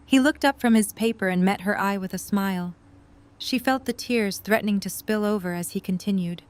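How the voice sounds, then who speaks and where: A woman's voice: mid-range voice, brisk, natural voice. A woman reading scripted story narration for a fan audio drama.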